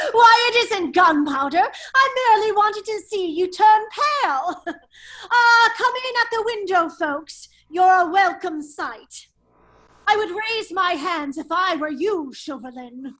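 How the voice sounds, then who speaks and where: A foppish nobleman adventurer, played by a woman in a radio drama table read. The voice is very high and unhurried. The manner is teasing and sly, with little stammers and chuckles. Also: animated, sharp tone, heavily acted. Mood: gleeful. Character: foppish, laughing English nobleman, a cunning hero in disguise. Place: remote virtual table read.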